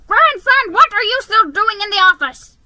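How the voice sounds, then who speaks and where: A woman voicing a bossy old grandfather mouse in a storybook, loud, emphatic and blustering. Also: very high-pitched, animated, moderate pace, voice pushed up, heavily acted. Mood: thundering, scolding. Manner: thundering, emphatic.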